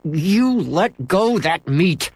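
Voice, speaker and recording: A man voicing an eager young boy who yells at his dog and talks excitedly to his mother, in a raised voice. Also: sits high, measured pace, no room sound, voice pushed up. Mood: angry.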